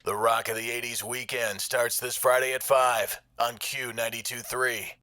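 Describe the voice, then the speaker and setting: Male radio announcer doing a low-key promo read, flat and steady, super dry. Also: normal speed, no echo.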